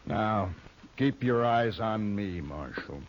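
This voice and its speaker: An actor playing a domineering, menacing outlaw with rough rural speech in an old-time radio western. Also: male voice, fairly low pitch, expressive, slow, soft background music. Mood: cold menace. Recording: muffled, noisy vintage radio drama recording.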